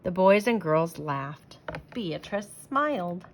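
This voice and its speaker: A woman reading a children's storybook aloud as an expressive storyteller, with emphatic phrasing. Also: fairly low pitch, slow, a little hiss or hum.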